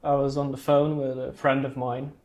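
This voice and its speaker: A man from Romania talks as a podcast guest in a mid-pitched voice with plenty of gravelly creak. He explains things in a casual, conversational way, often checking in with the listener. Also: level tone, moderate pace, darkish tone. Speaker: podcast guest, art project creator.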